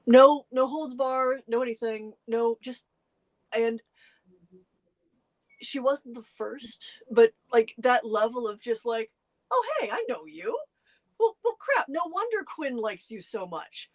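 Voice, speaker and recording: A middle-aged co-host chatting casually, telling stories earnestly with lively jumps in pitch and frequent restarts. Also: slow pace. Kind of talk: casual conversational chat.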